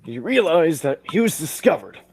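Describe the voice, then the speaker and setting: A man playing a pompous, excitable gentleman sidekick in 1940s radio detective style, with a husky voice and old-fashioned manners of address. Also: on the high side, moderate pace, a little hiss or hum. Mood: excited, theatrical.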